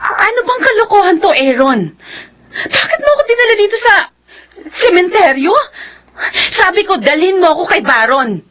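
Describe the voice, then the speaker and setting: A very high-voiced, tearful jilted young woman. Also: sing-song, normal speed, fairly bright tone, heavily acted. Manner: tearful, accusing. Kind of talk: Filipino radio drama acting.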